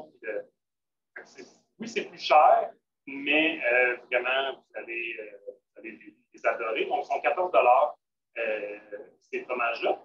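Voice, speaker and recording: Québécois man demoing vegan cooking, from afar. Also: mid-range voice. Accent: Québécois French. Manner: casual, many fillers and restarts. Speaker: cook at a vegan restaurant. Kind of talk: live cooking demonstration. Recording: roomy, distant, dull, faint noise.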